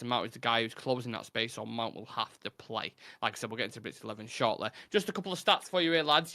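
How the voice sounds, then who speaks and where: Bright-voiced Mancunian podcast host. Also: male voice, mid-range voice, dry recording, studio quality. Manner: brisk, reads viewer comments aloud. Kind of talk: live football podcast chat.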